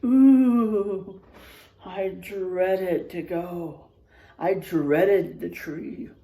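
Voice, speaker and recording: A woman teacher reading a picture book aloud to her first-grade class, gently asking them questions along the way. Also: fairly low pitch, very slow. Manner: animated, gentle, asks the children questions.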